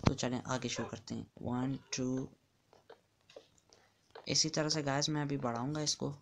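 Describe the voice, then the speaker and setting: Man, casual game commentary, flat steady delivery, counts aloud while building, faint hiss behind. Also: medium pitch, unhurried. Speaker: gamer.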